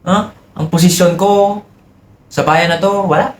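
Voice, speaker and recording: A man's voice: high voice, steady tone, a little slow, reverberant, a little hiss or hum. A man playing a pompous, abusive Spanish colonial army lieutenant in a boastful, demanding theatrical monologue.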